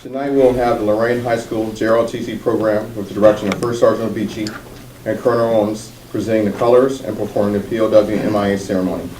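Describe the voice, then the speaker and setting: A man's voice: fairly low pitch, fairly even intonation, quick pace, dark tone, a little hiss or hum. Guy announcing at a formal meeting, echoey room, bit far from the mic. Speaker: school board meeting host.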